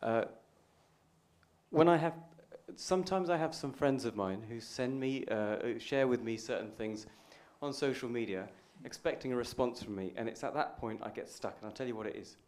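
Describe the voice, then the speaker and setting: A man in the audience asking a question, explaining his point deliberately. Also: medium pitch, a little mellow.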